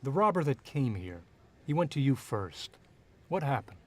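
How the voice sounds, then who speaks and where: An actor playing a cool, curt private investigator who talks and narrates in a businesslike 1940s radio noir style. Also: male voice, mid-range voice, big pitch swings, normal speed, darkish tone. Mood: probing, unruffled. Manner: clipped, businesslike, unruffled.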